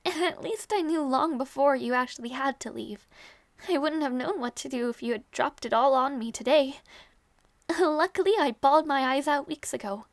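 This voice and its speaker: A woman acting a young princess in an intimate audio roleplay, tender and wistful, with soft, regal phrasing that hides sadness. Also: high voice, no echo. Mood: resigned, reassuring. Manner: soft, measured, regal phrasing with small teasing turns.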